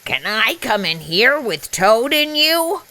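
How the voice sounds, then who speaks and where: A timid farm animal from a bedtime storybook voiced by a woman. The voice is high and bright, drawing out its rhymes slowly in a pleading sing-song. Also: big pitch swings, heavily acted. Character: timid farm animals: goat, hen, goose, cow.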